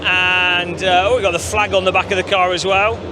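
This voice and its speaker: A man's voice: high-pitched, little pitch movement, fairly quick, background noise. A male gaming channel host chatting casually and upbeat, with lots of fillers, on a walkthrough of a busy gaming convention floor.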